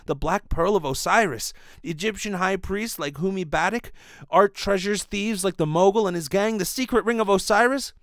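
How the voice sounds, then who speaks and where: Guy doing excitable gee-whiz cop buddy, highish voice, old radio serial. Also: moderate pace, no echo, studio quality. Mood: earnest. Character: Pat, earnest excitable police sidekick.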